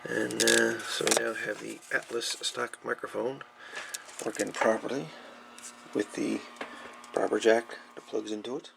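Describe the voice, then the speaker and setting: A man giving a casual, unscripted repair update in a folksy, halting manner. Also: mid-range voice, little pitch movement, gravelly, noisy background. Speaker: hobbyist radio repairer presenting own channel.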